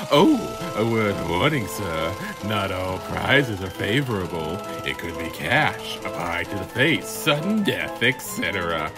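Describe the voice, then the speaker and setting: A man's voice: on the low side, pitched below the performer's own voice, exaggerated voice. A man voicing a sly, ominous carnival barker with a showy, mock-polite manner.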